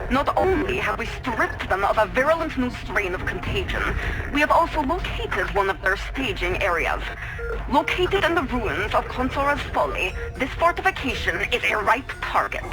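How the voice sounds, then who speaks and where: A woman's voice: on the high side, very animated, normal speed, low-quality recording. A woman playing a proud, zealous military commander in a video game, formal, solemn and declamatory. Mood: stern, triumphant. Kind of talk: scripted video game cutscene acting. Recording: music behind the voice. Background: music.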